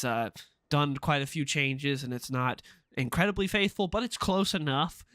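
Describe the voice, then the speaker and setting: A man's voice: mid-range voice, a bit bright, dry recording. A man with a stuffed-up, nasal voice from a cold, giving casual, opinionated commentary with frequent "uh" fillers. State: sick with a stuffed-up nose. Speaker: comic book commentary YouTuber.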